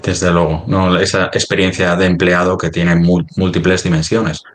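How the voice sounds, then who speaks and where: A man with a Spanish accent from Spain presenting in a semi-formal webinar discussion, haltingly searching for his words. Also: low voice, little pitch movement, a little hiss or hum.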